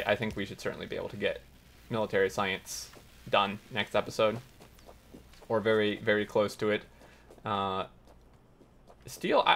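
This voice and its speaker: A man hosting a gaming tutorial, slightly raspy as he loses his voice, explaining step by step while thinking aloud with many uhs. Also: on the low side. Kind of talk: explaining gameplay while playing.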